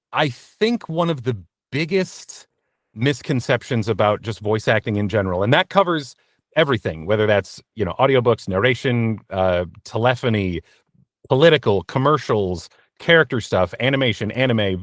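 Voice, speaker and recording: A man giving casual, conversational interview answers as a voice actor guest, often halting and correcting himself as he tells his story. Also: on the low side, animated, no room sound, clean studio sound.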